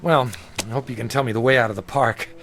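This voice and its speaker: A man's voice: mid-range voice, fairly even intonation, fast talker, music in the background, natural voice. An actor playing a nervous, frightened man with a weak heart in an audio drama, hesitant and anxious. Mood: uneasy, evasive.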